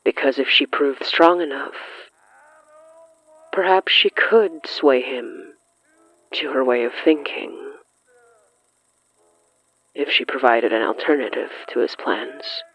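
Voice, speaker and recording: A narrator reading scripted horror fiction in a measured, deliberate way, breaking sentences into short, suspenseful phrases. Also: mid-range voice, fairly bright tone, breathy edge, muffled recording.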